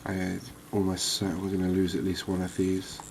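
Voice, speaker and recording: A man giving calm, unhurried, soft-spoken ASMR gameplay commentary, musing aloud as he plays. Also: sits low, slight background noise. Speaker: gaming video host.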